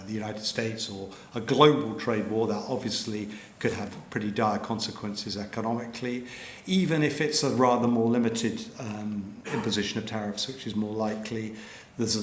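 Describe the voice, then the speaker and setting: A middle-aged man with a British accent, a journalist giving a measured panel talk with frequent ums and restarts. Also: fairly low pitch, a little hiss or hum. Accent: British English. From Britain. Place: lecture hall. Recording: echoey hall with faint ambience.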